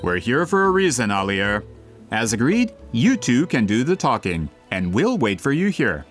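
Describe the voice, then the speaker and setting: An actor playing a self-important, impatient publisher in a video game, speaking in a pompous, persuasive manner. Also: male voice, mid-range voice, sing-song, normal speed, music in the background, lightly acted. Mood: brisk, doubtful.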